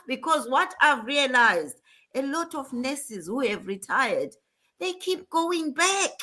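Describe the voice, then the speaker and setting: A woman hosts an online panel chat with a bright, forceful tone and a voice pitched a little high. She talks in an earnest, motivational way. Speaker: host.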